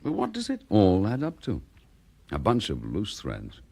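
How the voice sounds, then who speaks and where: An actor playing a dogged, weary English police chief inspector and protective husband in a radio drama. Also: male voice, lowish voice, huge pitch swings, unhurried, creaky, a little mellow, husky, no echo, full character voice. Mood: weary, frustrated.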